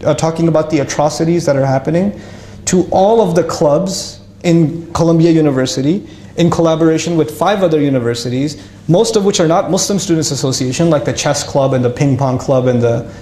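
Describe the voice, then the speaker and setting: A man casually telling his life story to an audience, lively and self-mocking, with frequent restarts. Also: fairly high pitch, fairly quick, roomy sound, slight background noise.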